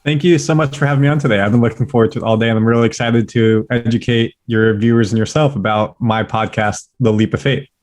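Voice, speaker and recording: An upbeat young man with a Californian accent, guesting on a podcast. He talks in quick, eager bursts, full of fillers and casual asides, sounding like he's recorded at home. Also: medium pitch, steady tone, a little mellow, soft background music. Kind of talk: casual interview chat.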